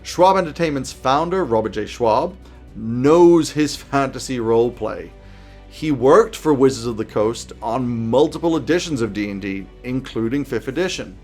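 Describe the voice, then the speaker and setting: A man's voice: mid-range voice, animated, moderate pace, a little mellow. A man in his thirties presenting scripted commentary to camera in an emphatic, enthusiastic way. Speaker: tabletop roleplaying video host. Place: small home office, indoors. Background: background music.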